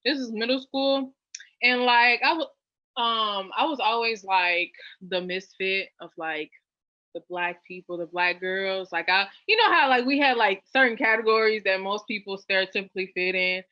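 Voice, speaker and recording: A young woman from New Orleans chatting as an interview guest, with lots of fillers and lively jumps in pitch. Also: normal speed, no echo. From New Orleans, US South. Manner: chatty, many fillers, animated rises in pitch. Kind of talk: casual interview chat. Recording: dull lo-fi dry sound.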